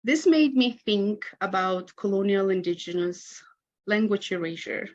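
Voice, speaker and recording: A woman's voice: medium pitch, a little slow, a little mellow. Moldovan-accented woman reading a reflective talk. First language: Romanian. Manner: reflective, reads a prepared personal account. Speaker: conference presenter. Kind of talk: academic conference talk. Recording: dull lo-fi recording.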